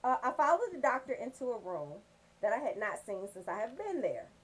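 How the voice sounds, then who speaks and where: An American woman hosting a comedic radio show, telling stories in an animated, folksy, profane way. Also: a little high, a little fast, husky, low-quality recording. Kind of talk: comedic radio banter and storytelling.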